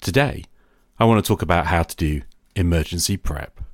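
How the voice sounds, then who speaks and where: A middle-aged man hosting a podcast, delivering a reflective scripted monologue in a measured, confessional manner. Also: low voice, loud music behind the voice. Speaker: podcast host.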